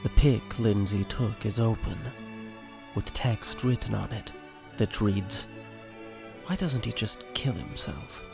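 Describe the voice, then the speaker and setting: A man's voice: on the low side, takes it slowly, creaky. A man reading scripted stage directions as the narrator of an audio drama. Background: music.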